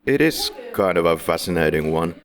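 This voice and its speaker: A man's voice: sits low, expressive, fairly quick. A man hosting a casual film podcast, making playful, jokey introductions. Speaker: podcast host.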